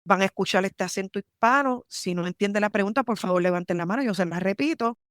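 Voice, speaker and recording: A woman from Puerto Rico with a Puerto Rican accent, telling her story in a lively interview and mixing English terms into her Spanish. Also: medium pitch, quick pace, no room sound, clean studio sound. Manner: animated, emphatic, mixes English terms into Spanish. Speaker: army lawyer, guest.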